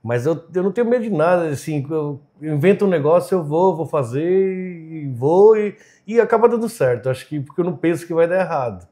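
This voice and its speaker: Mellow-voiced chatty Argentine-born man. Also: fairly high pitch, lively intonation, brisk, few pauses. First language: Spanish. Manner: open, chatty, laughs often, fillers like "né?". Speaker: chef and entrepreneur, podcast guest. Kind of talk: casual interview chat.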